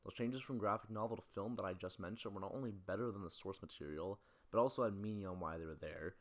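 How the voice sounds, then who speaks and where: Video essayist: young male, deep-pitched, brisk scripted narration. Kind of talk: scripted film-analysis narration.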